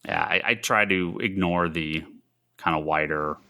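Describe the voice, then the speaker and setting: Low, bright-voiced man, halting and rambling. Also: creaky. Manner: halting, rambling, thinking aloud. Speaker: podcast co-host. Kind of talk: casual chat about comics and films.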